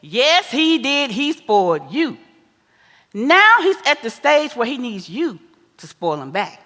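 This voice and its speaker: A woman acting a sassy, scolding, wise older American mother in a stage play, with an animated, folksy, preachy delivery. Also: a little high, takes it slowly, exaggerated voice. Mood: sassy, teasing. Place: live stage in a theater. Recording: echoey theater hall with long reverb.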